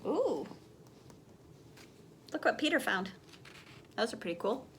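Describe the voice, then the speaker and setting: A woman's voice: a little high, very animated, takes it slowly, fairly bright tone, a little hiss or hum. A woman teacher reading a children's picture book aloud, expressively, as if putting questions to young listeners.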